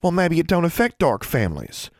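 A man's voice: on the high side, wildly swooping pitch, brisk, darkish tone, no echo, clean studio sound, pitched above the performer's own voice. A man voicing a dramatic, superstitious young country girl who talks in wailing, folksy, ungrammatical speech for a storybook. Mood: stubborn.

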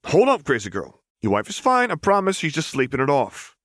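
A casual young man, voiced by a male reader, raised above the narrator's low natural pitch. He is placating and slightly nervous, with an easygoing temper. It is a storybook role in a dry recording. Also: wildly swooping pitch, a little fast, a bit bright, dry recording. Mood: reassuring.

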